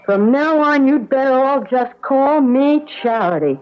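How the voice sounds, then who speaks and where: A woman's voice: high voice, animated, a little slow, faint music behind. An actress playing a troubled, stubborn young woman without a memory in a 1970s radio drama, with an emotional, insistent delivery. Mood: shaken, resolute. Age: young adult. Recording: dull lo-fi 1970s radio recording.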